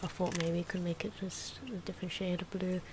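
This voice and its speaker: A soft-spoken, hesitant woman giving ASMR commentary on her own drawing, with pencil and marker noises. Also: lowish voice, little pitch movement, brisk, background noise. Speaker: artist narrating own drawing video.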